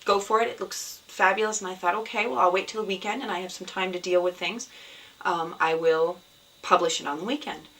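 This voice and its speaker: A woman with a scratchy, hoarse voice from a cold, hosting a casual video podcast at home, rambling with many ums. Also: on the low side, steady tone, a little fast. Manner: rambling, many ums, soft and sad in places. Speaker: podcast host. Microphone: camera. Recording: somewhat distant mic, faint background noise.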